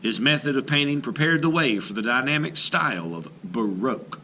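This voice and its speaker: A man's voice: medium pitch, brisk, a little hiss or hum. A man teaching art, reading a painter's biography aloud and then walking through a timeline with casual asides. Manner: lively, emphatic read-aloud delivery with asides.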